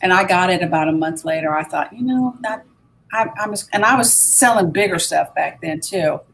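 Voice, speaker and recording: Laid back woman on a video call, slightly low voice, repeats herself a bit. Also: moderate pace, vocal fry, fairly bright tone, slight background noise. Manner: easygoing, repeats words as she thinks. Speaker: reseller guest. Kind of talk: casual chat on a live video hangout.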